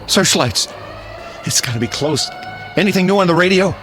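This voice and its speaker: A man's voice: sits high, animated, normal speed, pushed and bright, slight background noise. An actor playing an earnest, reproachful man who scolds his panicked neighbors in a radio drama. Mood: tense.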